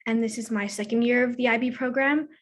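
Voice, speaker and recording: A teenage girl from Washington, D.C. introducing herself on a student panel, measured and self-correcting. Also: a little high, steady tone, a bit bright. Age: teens.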